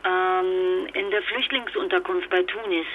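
An actress playing a fragile, exhausted wife and mother stranded abroad, speaking haltingly in a naturalistic radio drama through a telephone filter. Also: on the low side, steady tone. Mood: flustered, hurried. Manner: halting, stammering, emotionally fragile. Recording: noisy muffled phone-line sound.

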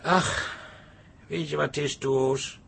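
An actor playing a weary, teasing married pub landlord in a colloquial, wry way in a comic radio drama. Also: male voice, fairly high pitch, steady tone, takes it slowly, vocal fry, fairly bright tone, husky, exaggerated voice. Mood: listless, weary.

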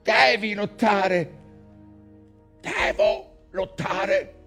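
An actor playing an anguished, defiant shipwrecked whaling captain in a theatrical monologue, delivered in halting phrases that swing between hushed dread and cries. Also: male voice, high voice, gravelly, heavily acted. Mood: defiant struggle. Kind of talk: dramatic theatrical monologue, literary stage acting. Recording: music under the voice.

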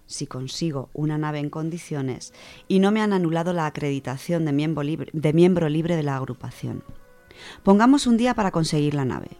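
Chatty, low-voiced woman on radio. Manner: chatty, animated, laughs often, many fillers. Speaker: radio co-host presenting a literature section. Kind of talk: casual radio chat and story reading.

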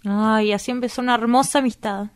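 Radio drama: actress as sardonic, judgmental Argentine woman, higher-pitched, curious, questioning with dry disdain. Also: steady tone, brisk, lightly acted. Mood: disgusted, sardonic.

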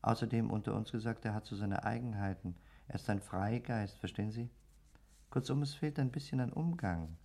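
Polite ghost, low pitch, chatty, sardonic, radio play delivery. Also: male voice, fairly quick, dark tone. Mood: courteous, inviting. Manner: courteous, chatty, mocking. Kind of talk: German radio play acting.

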